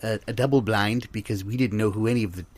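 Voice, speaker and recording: Man acting flustered apologetic researcher, low voice, stammering, formal and deferential, absurd audio comedy. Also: fairly even intonation, fast talker, natural voice. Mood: flustered, hesitant. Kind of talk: improvised absurdist comedy interview.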